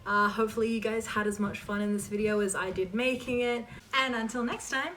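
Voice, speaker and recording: A woman's voice: fairly high pitch, steady tone, fairly quick, fairly bright tone, recorded from a distance, a little hiss or hum. A woman hosting a casual question-and-answer chat for her viewers, informal and emphatic as she reads questions aloud and answers them.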